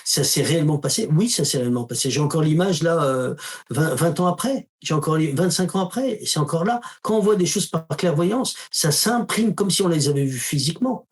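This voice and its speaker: A man with a fairly high voice talks casually in an interview. He is a spiritual teacher who tells stories, acting out the dialogue with lively asides. His tone stays informal and direct. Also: fairly quick. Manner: storytelling, acts out quoted dialogue with emphatic asides; uses informal tu to the listener.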